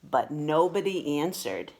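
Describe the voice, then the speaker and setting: Unhurried woman reading a children's storybook. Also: sits low. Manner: expressive, deliberate storytelling delivery. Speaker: storybook reader. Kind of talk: read-aloud children's picture book narration.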